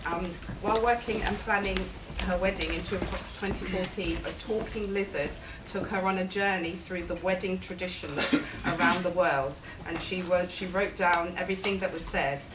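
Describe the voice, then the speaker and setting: A woman's voice: on the low side, steady tone, moderate pace, hardly any pauses. Woman host in a noisy, echoey room. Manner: reads an introduction aloud, laughs often, stumbles on words. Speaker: event host. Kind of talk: live event interview. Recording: loud noise in an echoey room.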